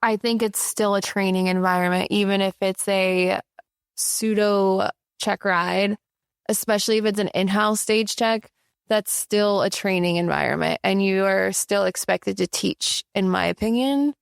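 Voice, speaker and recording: A woman co-hosting a podcast in casual chat, an outspoken flight instructor who talks with animated emphasis. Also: mid-range voice, unhurried, creaky, clear, resonant tone, no room sound, studio quality.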